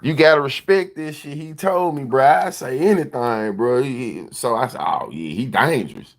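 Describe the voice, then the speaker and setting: A man's voice: medium pitch, expressive, moderate pace, gravelly. A man hosting a livestream in laid-back, slangy chat, often repeating his phrases. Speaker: livestream host.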